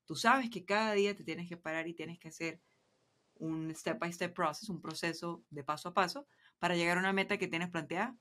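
A woman's voice: fairly low pitch, a little fast, a bit bright. A young adult woman with a Venezuelan accent, a business guest chatting casually in a podcast interview and dropping English words into her Spanish. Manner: chatty, mixes English words, checks in with "¿sí me explico?". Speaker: guest entrepreneur.

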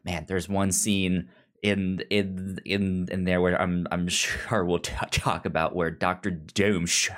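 A podcast host reviewing a book in casual chat, speaking haltingly and often stammering over repeated syllables. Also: low voice, little pitch movement, fairly bright tone.

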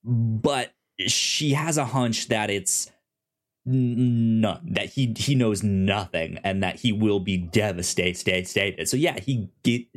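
A man with a fairly low voice talks through a show in a casual podcast discussion. His delivery is halting, with stammered, repeated syllables as he works toward each point. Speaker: podcast co-host.

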